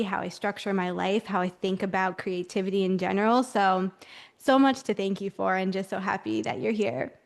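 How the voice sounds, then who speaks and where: A woman's voice: medium pitch, clean tone. A woman hosting a podcast, talking in an effusive, gushing way to her guest. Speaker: podcast host. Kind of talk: scripted intro then casual interview.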